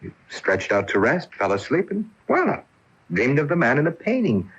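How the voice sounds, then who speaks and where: An actor playing a reassuring, lovestruck boyfriend in a radio drama, coaxing and expressive. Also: male voice, on the high side, normal speed, husky, exaggerated voice. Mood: reasoning, reassuring. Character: attentive, reassuring boyfriend in love, adult man. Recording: muffled AM radio broadcast recording.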